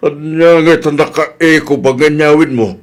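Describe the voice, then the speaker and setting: A man playing a puzzled, comically slow middle-aged village husband in a radio drama, with exaggerated delivery. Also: a little high, lightly acted. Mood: surprised. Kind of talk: Ilocano comedy radio drama acting.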